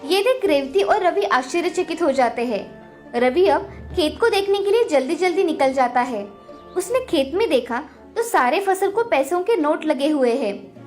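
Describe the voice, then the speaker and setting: Woman narrator, very high pitch, animated melody, expressive scripted storytelling, children's tale, background music. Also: normal speed.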